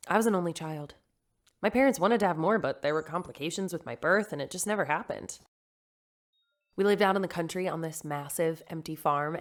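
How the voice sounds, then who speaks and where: A woman's voice: fairly low pitch, natural voice. An actress playing a computerized mother who speaks earnestly and from the heart. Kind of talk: sci-fi radio drama.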